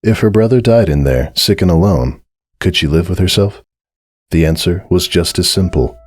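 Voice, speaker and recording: A man giving a dramatic scripted reading of a story as narrator, with measured, solemn and deliberate phrasing. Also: deep voice.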